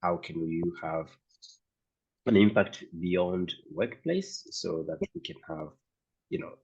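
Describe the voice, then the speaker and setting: A man whose first language is Swahili, interviewing a guest with halting, searching questions. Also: low-pitched, a little mellow, breathy edge. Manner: halting, searching for words, many restarts. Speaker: interviewer, host. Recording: slightly dull lo-fi sound.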